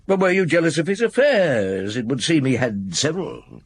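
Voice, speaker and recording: Unhurried, probing chief inspector for radio drama; husky voice, very dry close sound. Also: male voice, a little high, animated, dry recording. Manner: musing hums, probing questions. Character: shrewd, unhurried male French chief inspector, blunt and probing. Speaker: actor in the lead role of a radio detective drama. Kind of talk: British radio drama acting with first-person narration.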